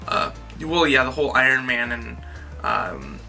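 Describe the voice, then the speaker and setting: A man's voice: medium pitch, very animated, a little slow, vocal fry, bright, forceful tone, faint music behind. A man co-hosting a movie review in an informal, conversational style. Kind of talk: casual film discussion.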